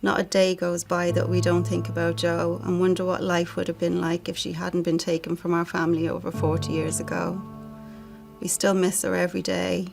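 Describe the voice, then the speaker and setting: A middle-aged woman with an Irish accent reads a prepared family tribute in a solemn, measured way. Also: on the low side, flat delivery, creaky, music in the background. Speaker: bereaved sister giving a family statement.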